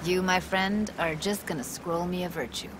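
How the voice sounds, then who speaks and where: An actress playing a playful, self-assured young techie and diver in a video game, with a slangy, teasing, laid-back way of talking. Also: female voice, fairly low pitch, steady tone, normal speed, background noise, close to an everyday voice. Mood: upbeat. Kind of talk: cinematic game dialogue.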